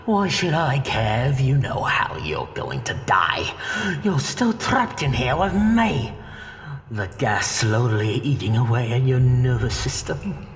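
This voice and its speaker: A man's voice: mid-range voice, very animated, takes it slowly, slight background noise. A man playing a gloating, sadistic villain who taunts and monologues theatrically in an audio drama. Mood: defiant, gloating. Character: gloating, sadistic male villain with death traps. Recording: long reverb, music underneath.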